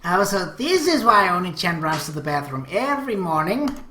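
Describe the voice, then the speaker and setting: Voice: medium pitch, very animated, normal speed, pushed and bright, voice pushed up. A game commentator voicing a cheeky, teasing, provocative little sister from an anime visual novel. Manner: talks about herself in third person, provocative. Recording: echoey room.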